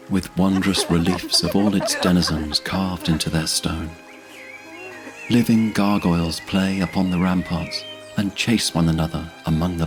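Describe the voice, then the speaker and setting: A man narrating scripted fantasy as a storyteller in a solemn, measured manner. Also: deep voice.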